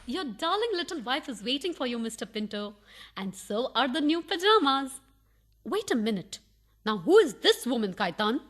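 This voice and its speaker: A woman's voice: high voice, big pitch swings, moderate pace. A woman playing a prim, easily scandalised housewife in a farcical radio play, pointed and theatrical. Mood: suspicious.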